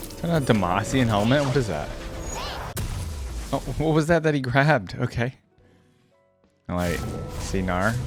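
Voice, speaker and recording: A man hosting a gaming reaction video, commenting casually off the cuff and thinking out loud with easy surprise. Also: medium pitch. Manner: easily amused, thinks out loud, exclaims with surprise.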